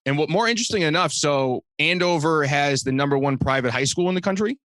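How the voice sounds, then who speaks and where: A man's voice: on the high side, expressive, quick pace, a bit bright, no room sound, studio quality. A young man from Massachusetts, a comedian and designer, chatting as a guest in a loose, joking way full of fillers. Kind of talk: casual interview chat.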